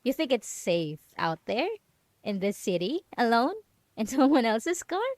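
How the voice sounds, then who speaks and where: A woman's voice: fairly high pitch, very animated, a little slow, darkish tone, no room sound. A woman playing a possessive, mocking yandere roommate in an ASMR roleplay, teasing and condescending as she lingers on her words. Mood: condescending, taunting. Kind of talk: dramatic ASMR roleplay monologue.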